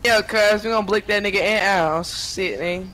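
A man's voice: sits high, normal speed, pushed and bright, rough recording. A man in online game chat trash-talking in a confrontational, profane, slangy way. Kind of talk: heated trash talk.

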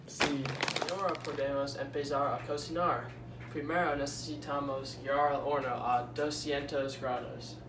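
A young man with an American accent and English as his first language, hosting a home cooking vlog in halting learner's Spanish. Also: mid-range voice, steady tone. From United States. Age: young adult. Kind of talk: rehearsed recipe narration. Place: indoors, home dining room and kitchen. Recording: echoey home room, clear background noise.

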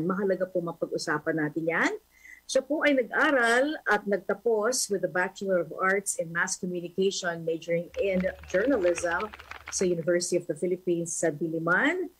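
A woman's voice: on the low side, breathy edge, rough recording. A woman in her forties, a radio anchor introducing a guest politely, with fillers and respectful particles, in a studio. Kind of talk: interview introduction.

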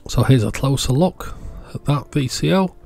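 A man's voice: medium pitch, expressive, moderate pace, creaky, darkish tone, faint music behind. A man giving a casual, plain-spoken voice-over, explaining hobby electronics as the channel's presenter. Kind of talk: casual product showcase voice-over.

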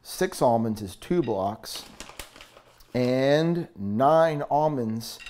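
A man's voice: medium pitch, darkish tone, a little hiss or hum. A man in his thirties, a fitness coach, talking through a meal in his home kitchen, earnest and upbeat, explaining step by step. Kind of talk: cooking demo talk to camera.

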